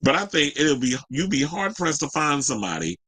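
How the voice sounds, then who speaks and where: A man's voice: a little high, sing-song, a little fast, bright, forceful tone. An American man hosting a news and gossip show, reading stories and adding blunt, profane, sassy asides in colloquial speech.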